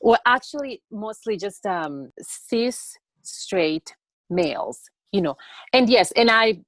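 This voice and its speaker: A young woman from Tanzania answering interview questions on a video call in an earnest, halting way that often repeats words. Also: mid-range voice, animated, no room sound. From born in Tanzania. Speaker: interview guest, TikTok educator.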